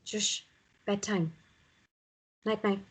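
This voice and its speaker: A woman reading the part of a stern, guarded single mother in a drama table read, brisk and curt. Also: mid-range voice, fairly even intonation, slightly rough. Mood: hushed, firm.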